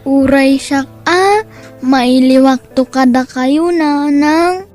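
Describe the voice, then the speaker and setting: A woman's voice: sits high, slow pace, a little mellow, faint music behind. A woman with an Ilocano accent playing a dutiful, poor young boy in an audio drama, speaking in an earnest, childlike way. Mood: obedient, hopeful. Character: dutiful, poor young boy who sings and gathers trash.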